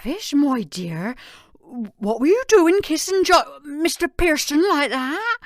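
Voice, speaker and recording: Woman acting a very shrill fussing fishwife mother. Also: sing-song, slow pace, dry recording, heavily acted. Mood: scolding. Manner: scolding, motherly, rustic. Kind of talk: audio drama acting.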